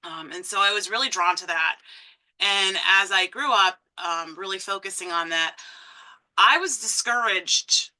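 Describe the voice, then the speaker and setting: A woman's voice: mid-range voice, unhurried, rough recording. A woman in her thirties from Massachusetts, a conservation director giving a personal talk full of ums and restarts. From Massachusetts, USA. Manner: warm storytelling with frequent ums and restarts.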